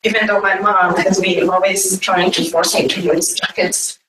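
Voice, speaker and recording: A woman with a Brazilian accent giving an informal, unscripted talk to an audience in a halting, digressive way. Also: on the low side, little pitch movement, a bit bright. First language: Portuguese. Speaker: guest speaker. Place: echoey indoor conference room. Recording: echoey distant room with faint noise.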